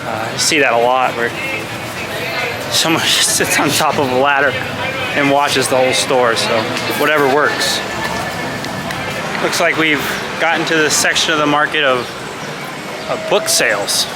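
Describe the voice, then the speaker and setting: A man's voice: mid-range voice, normal speed, pauses often, loud music behind the voice, poor audio. A man vlogging as he walks through a busy outdoor street market, talking casually with wry, offhand asides into a handheld camera. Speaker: travel vlogger. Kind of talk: walking travel commentary.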